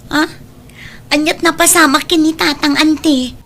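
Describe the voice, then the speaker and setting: A woman's voice: high-pitched, fairly even intonation, normal speed, fairly bright tone. A woman playing a worried, dutiful young working daughter in an Ilocano audio drama, earnest and anxious, with an Ilocano accent. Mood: alarmed, anxious.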